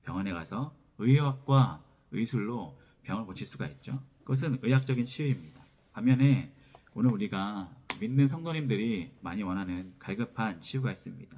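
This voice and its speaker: A pastor delivers a sermon in a fairly low male voice. He reads scripture and then explains it step by step, often repeating a phrase before moving on. His throat is a little sore from a cold. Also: expressive, fairly quick, mellow. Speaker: pastor preaching. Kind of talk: expository sermon with scripture reading.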